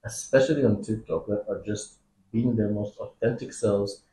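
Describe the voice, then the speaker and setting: Low-voiced podcast host in a roomy space. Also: male voice, normal speed. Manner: relaxed, short backchannels, reflective. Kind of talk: casual podcast chat. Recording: roomy echo.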